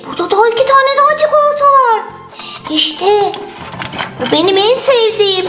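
A woman's voice: very high-pitched, very animated, normal speed. A woman voicing a playful, greedy toy turtle in a children's toy story, exclamatory and sing-song. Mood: gleeful, hungry. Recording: roomy sound with music underneath.

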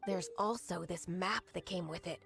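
A woman's voice: lowish voice, normal speed, creaky, over music. Earnest young female informant. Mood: confiding. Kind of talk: anime video game dialogue.

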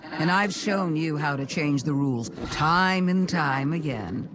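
A woman's voice: low voice, unhurried, a crowd in the background. A woman playing a scheming, mocking alien witch queen in a game, with a sly, theatrical, taunting delivery. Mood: aggrieved taunting. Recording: loud music and effects behind.

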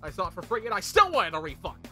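A man's voice: high voice, huge pitch swings, fast talker, sharp tone, soft background music, heavily acted. A man voicing a foul-mouthed, sardonic male cartoon imp with a brash delivery. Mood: irritated, sardonic. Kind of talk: cartoon character banter.

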